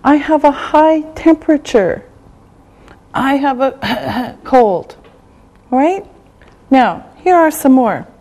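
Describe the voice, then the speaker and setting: A high-voiced woman teaches a beginner English lesson. She speaks very slowly and carefully, repeats each phrase, and checks understanding with a frequent "okay?". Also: expressive, warm tone. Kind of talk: slow, deliberate classroom teaching.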